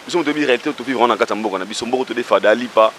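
A man's voice: mid-range voice, racing, fairly bright tone, slight background noise. A man, a talk show guest speaking in a rambling, hesitant way with many fillers, outdoors into a handheld mic. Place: outdoor garden by a wall. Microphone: handheld mic held by host.

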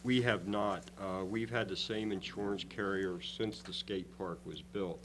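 Male town official, very low voice, meeting discussion over noticeable hum and noise. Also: fairly even intonation, measured pace. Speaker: town management staff member. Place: council meeting room. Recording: loud hum and room noise.

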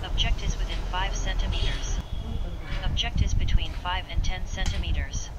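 A man's voice: sits high, moderate pace. A synthetic male text-to-speech voice making short automated announcements through a small speaker. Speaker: automated voice alert. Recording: loud noise, played through small speaker.

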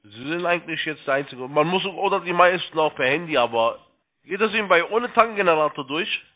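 A man's voice: fairly high pitch, lively intonation, fairly quick. A man working as a call center agent, talking informally with a customer on the phone. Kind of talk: informal phone sales conversation. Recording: band-limited phone-call audio.

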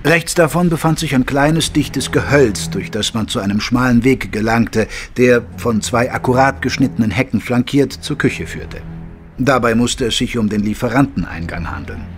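An actor playing an observant gentleman narrator, the detective's companion, telling the story in a measured, formal way. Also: medium pitch, lively intonation, lightly acted. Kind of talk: scripted narration. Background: music.

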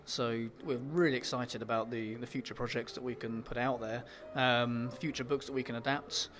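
A man with an English accent, an author answering interview questions in a halting way, full of ums and repeated words. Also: medium pitch, steady tone, a bit bright.

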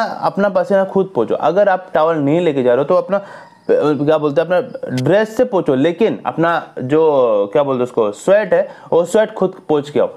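Young man giving lively gym advice. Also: sits high. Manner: earnest, lively hand gestures, scolding advice. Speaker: fitness vlogger. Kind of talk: casual talk to camera. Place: small indoor room with a decorated wall. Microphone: lapel mic.

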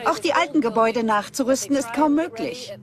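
A woman's voice: sits high, fairly quick, crowd noise, lightly acted. A woman speaking conversationally as a scientist in a dubbed documentary.

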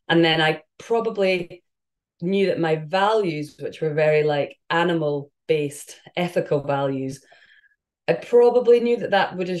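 A woman's voice: low-pitched, a little mellow. A woman in her thirties with a London accent, chatting casually while cooking live in her home kitchen, rambling with lots of fillers and restarts. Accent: London English. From England. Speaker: vegan cook and host. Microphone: camera on the counter, a few feet away.

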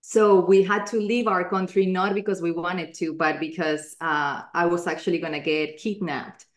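A woman's voice: lowish voice, steady tone, normal speed. Colombia-born woman, roomy laptop audio. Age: 40s. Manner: earnest, many fillers and restarts. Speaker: guest, life coach. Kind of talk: video call interview. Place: living room at home.

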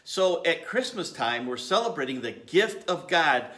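A man's voice: fairly high pitch, sing-song, measured pace, bright, forceful tone. A middle-aged man in his fifties giving an earnest sermon from his home garage, gesturing as he speaks to a camera at desk distance. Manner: earnest, gestures with his hands while preaching. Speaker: lay preacher.

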